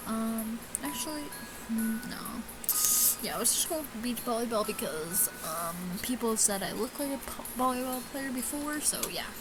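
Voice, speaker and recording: A woman doing casual gameplay commentary, reading game text aloud haltingly and often stumbling and rereading lines. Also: fairly high pitch, low-quality recording. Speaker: let's player reading a visual novel aloud. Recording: music playing behind the voice. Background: game music.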